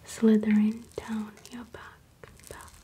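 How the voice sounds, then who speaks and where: A woman whispering an ASMR rhyme in a slow, rhythmic chant and gently coaxing the listener, close to the mic in a small room. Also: a little high, monotone, a little hiss or hum. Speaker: ASMR creator.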